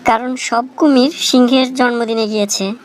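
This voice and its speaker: High-voiced deadpan job candidate, played by a woman. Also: moderate pace, close to an everyday voice. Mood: matter-of-fact. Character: cheeky, literal-minded job candidate in a comic cartoon. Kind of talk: cartoon comedy dialogue.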